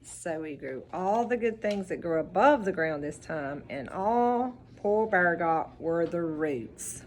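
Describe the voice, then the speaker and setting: Female storybook reader for children: instructive, expressive, slow pace, lively pitch swings. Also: slight background noise. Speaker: teacher reading aloud to children. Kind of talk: storybook read-aloud with asides to children.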